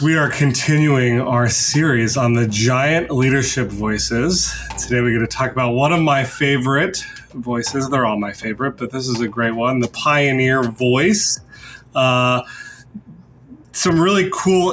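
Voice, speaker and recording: A man's voice: medium pitch, fairly bright tone, a little hiss or hum. A man hosting a discussion podcast in a conversational style. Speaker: podcast host.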